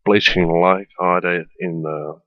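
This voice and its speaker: A man from the Netherlands with a Dutch accent, hesitantly narrating a software tutorial as a voiceover with many fillers. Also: deep voice, fairly even intonation, takes it slowly, no room sound, narrow, tinny audio. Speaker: tutorial maker. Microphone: voiceover at a computer.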